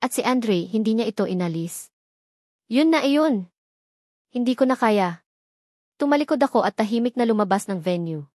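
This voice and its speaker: High-pitched Filipino woman narrating a story. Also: expressive, normal speed, long pauses, darkish tone, dry recording, studio quality. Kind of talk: scripted first-person romance narration.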